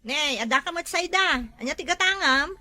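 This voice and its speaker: A woman's voice: sits high, animated, moderate pace, pushed and bright, dry recording, full character voice. A woman playing a nosy, gossipy village storekeeper in a comedy drama, prying and teasing, with an Ilocano accent.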